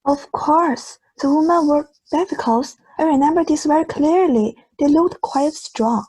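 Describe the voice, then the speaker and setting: A woman's voice: sits high, measured pace, darkish tone, rough recording. A woman playing a precise, observant juror in an amateur audio drama. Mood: certain.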